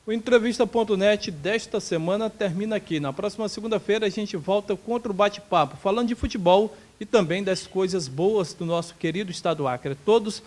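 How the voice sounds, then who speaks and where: A man's voice: high-pitched, brisk, hardly any pauses, a little mellow. A man hosting an interview show, asking questions politely and closing the program formally. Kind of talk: scripted show sign-off and questions.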